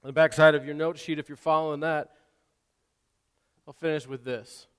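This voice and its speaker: A man preaching a sermon, swinging between quiet asides and loud, impassioned exhortation, often repeating and restarting words. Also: fairly high pitch, little pitch movement, normal speed, smooth. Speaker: pastor. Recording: long hall reverb.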